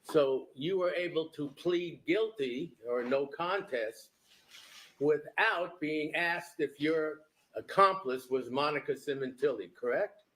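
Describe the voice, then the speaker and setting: A defense attorney cross-examining a witness in court, asking pointed, leading questions. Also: male voice, fairly high pitch, slow pace, fairly bright tone. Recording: echoey courtroom feed.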